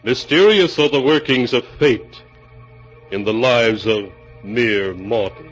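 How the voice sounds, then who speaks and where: Old time radio horror host guy, portentous and really slow, dull muffled recording. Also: mid-range voice, over music. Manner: portentous, theatrical, solemn. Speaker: announcer and narrator. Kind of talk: 1940s radio mystery narration, scripted.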